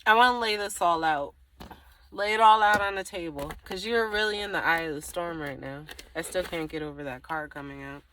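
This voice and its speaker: A woman with a fairly low, clearly bright and forward voice gives an improvised tarot reading. She talks casually to the viewer and thinks aloud, rambling, leaving phrases open and circling back to them. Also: a little fast, slight background noise. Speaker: tarot reader.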